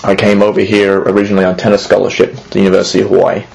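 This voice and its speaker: A man's voice: fairly low pitch, monotone, rapid-fire, gravelly, darkish tone, low-quality recording. A man in his thirties, originally from Australia, answering interview questions hesitantly with many fillers and restarts. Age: 30s. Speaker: museum executive, interviewee.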